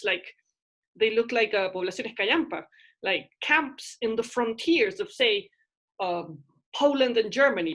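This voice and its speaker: A middle-aged woman teaching a university literature class from home, speaking through a headset on a video call, animated and often asking "right?". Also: on the high side. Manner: animated, gestures while explaining, asks "right?" often. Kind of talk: online class discussion. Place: home room with bookshelves.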